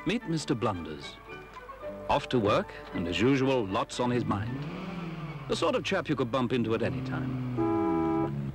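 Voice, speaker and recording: A man's voice: mid-range voice, sing-song, a little slow, pauses often, over music. A man giving scripted narration for an old public information film.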